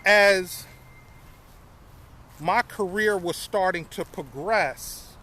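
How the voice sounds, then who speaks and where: A man's voice: high-pitched, very slow, pushed and bright, a little hiss or hum. A man in his fifties telling his life story casually to viewers, often repeating phrases and calling them y'all. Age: 50s. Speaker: vlogger.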